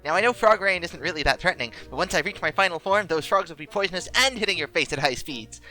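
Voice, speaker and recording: A woman's voice: on the low side, animated, quick pace, sharp tone, soft background music. A woman voicing a manic, delusional, cheerful party pony in a parody cartoon dub, breezy about absurd threats. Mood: boastful.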